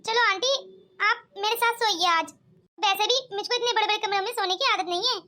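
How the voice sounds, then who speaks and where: Lady doing a sweet young bahu for a cartoon, super high bright voice, polite. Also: brisk. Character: polite, sweet young widowed daughter-in-law. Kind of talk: amateur cartoon comedy skit.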